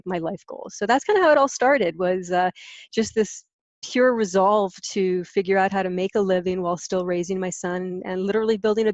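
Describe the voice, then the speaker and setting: A woman's voice: mid-range voice, dry recording, highs cut off. A middle-aged American woman from Hawaii answering interview questions in a candid, explanatory, conversational way. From Hawaii, United States. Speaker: interview guest, content marketing business owner.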